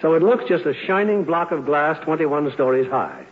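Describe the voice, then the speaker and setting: A man's voice: fairly high pitch, expressive, normal speed. A man hosting a 1950s radio show in a scripted announcer style. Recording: tinny narrow-band 1950s radio recording.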